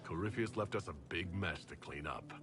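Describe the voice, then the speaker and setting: Man playing a low-voiced, composed heroic leader. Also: normal speed, lightly acted. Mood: calm, resolute. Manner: composed, dry. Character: steady, dry-humored male leader and hero. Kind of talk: video game character dialogue.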